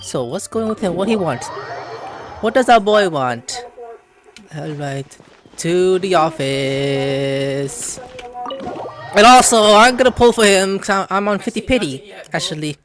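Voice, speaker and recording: A woman's voice: fairly low pitch, lively intonation, unhurried, soft background music. A woman giving casual, playful, slangy reactions as she comments over a video game. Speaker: gameplay commentator. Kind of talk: casual let's play commentary.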